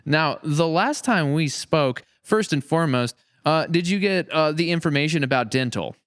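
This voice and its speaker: Smooth-voiced man hosting a close, dry podcast. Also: a little high, lively intonation, normal speed, a bit bright, no echo. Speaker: podcast host and series creator. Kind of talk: casual podcast interview chat.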